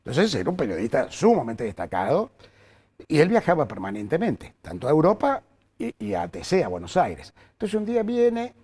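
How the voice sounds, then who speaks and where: A man's voice: a little high, fairly quick, husky. An older man from Córdoba with an Argentine accent, telling career anecdotes as an interview guest with lots of fillers and restarts. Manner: animated storyteller with frequent fillers and restarts. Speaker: journalist guest. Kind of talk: anecdotal storytelling in conversation.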